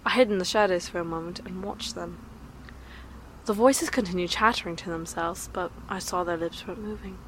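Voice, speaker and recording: A woman's voice: on the low side, brisk, a bit bright, slight background noise, close to an everyday voice, rough recording. A woman playing a composed, understated telepath who speaks formally in an audio drama. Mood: quiet, composed. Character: quiet, composed telepath who watches from shadows.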